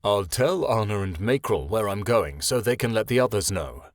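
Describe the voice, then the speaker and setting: A man's voice: on the low side, animated, normal speed, no echo, studio quality, natural voice. A man playing a determined, worried male dragon.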